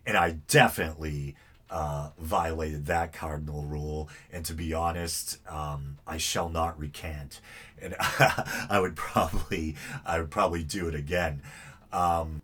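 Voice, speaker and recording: A man's voice: rumbling low, steady tone, measured pace, fairly bright tone, slightly rough. A man hosting his own podcast in a casual, rambling, easygoing monologue, his voice stuffy and nasal. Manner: rambling, self-deprecating, easygoing. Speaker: podcast host.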